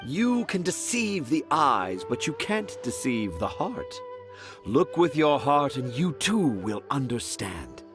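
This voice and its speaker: A man's voice: mid-range voice, big pitch swings, takes it slowly, over music. A man acting a boastful, theatrical storyteller in a video game, with a pompous, grandiose showman's delivery. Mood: smug, dramatic.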